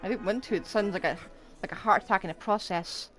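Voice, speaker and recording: A woman's voice: mid-range voice, quick pace, fairly bright tone, rough recording. A woman live streaming a game with casual, chatty commentary while game music plays behind her. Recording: voice over faint game music.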